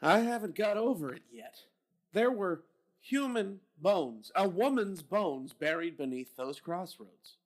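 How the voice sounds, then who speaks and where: An actor playing a friendly police captain who teases a young couple. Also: male voice, sits high, expressive. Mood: teasing. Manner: brisk, teasing.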